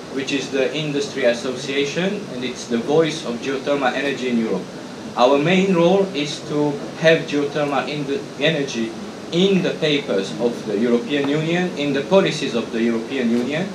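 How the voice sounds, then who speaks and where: A middle-aged man presents at a conference, pitched a touch above the usual male range. He talks haltingly, often restarting his sentences. The sound is roomy, with a clear steady hum of air conditioning behind him. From Romania. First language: Hungarian. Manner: halting, frequent restarts and fillers. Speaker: conference speaker, association president. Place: conference hall. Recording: echoey hall with hum.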